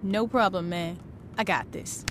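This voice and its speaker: An actress voicing a tough, confident, wisecracking gang boss with a blunt, flippant manner in a video game cutscene. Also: female voice, mid-range voice, a little slow, slight background noise, natural voice.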